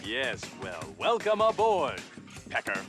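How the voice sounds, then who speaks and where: An actor playing a flamboyant, smug racing show host in a video game, theatrical and sardonic with a sneer. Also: male voice, medium pitch, wildly swooping pitch, slow. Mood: grudging, sardonic. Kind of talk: showy video game cutscene acting. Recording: music behind the voice.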